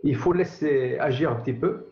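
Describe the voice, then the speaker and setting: A man from Belgium with a slight Belgian accent, a radio guest answering in a hesitant, halting way over a remote line. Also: mid-range voice, darkish tone. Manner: hesitant, halting, many pauses. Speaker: guest, company sales director. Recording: dull roomy remote line.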